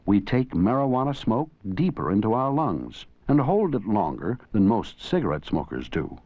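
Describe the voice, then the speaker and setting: Deep voiced guy doing earnest old 80s school film narration, kinda muffled sound. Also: sing-song, normal speed, dark tone, dry recording. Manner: earnest, didactic delivery. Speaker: documentary narrator and presenter. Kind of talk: scripted educational film narration. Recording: dull 1980s educational film soundtrack.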